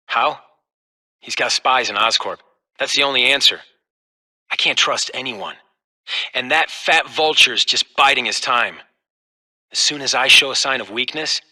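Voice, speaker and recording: A man's voice: medium pitch, moderate pace, pauses often, bright, forceful tone. A man playing a desperate, ruthless and paranoid company heir in a video game, delivering a clipped, scheming monologue. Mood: paranoid, bitter.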